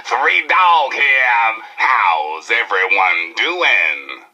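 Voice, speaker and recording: A showy American wasteland radio DJ for a video game. His voice is husky yet very forceful, and it swoops widely as he delivers folksy, irreverent mock news and punchy patter. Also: lowish voice, sing-song, dull audio, full character voice. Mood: exuberant, showy. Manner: theatrical, wisecracking, folksy, drawn-out and punchy. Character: flamboyant, irreverent male post-apocalyptic radio DJ. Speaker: radio DJ and newsreader.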